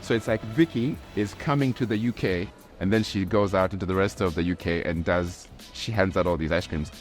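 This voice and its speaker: Young man, from Tanzania, low voice, reflective casual interview talk, frequent restarts, faint background music. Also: fairly quick. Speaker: graphic artist.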